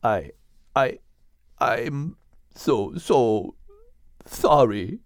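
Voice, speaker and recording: Big gentle bull, done by a male narrator: deep Spanish-accented voice, sheepish, unhurried, close dry storybook sound. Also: lively intonation, dry recording. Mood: sobbing, remorseful.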